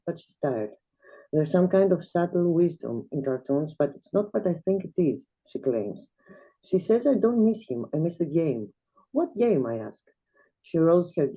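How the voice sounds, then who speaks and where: A woman from Greece, reading her own flash fiction aloud in a measured, quiet way as a guest reader. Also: sits low, slightly rough. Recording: tinny video-call audio.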